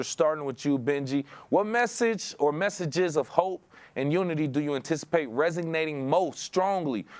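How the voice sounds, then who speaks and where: A man's voice: medium pitch, darkish tone, no echo. A man in his thirties hosting a conversational broadcast interview from a studio on a lapel mic. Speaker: TV and radio show host. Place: indoor studio.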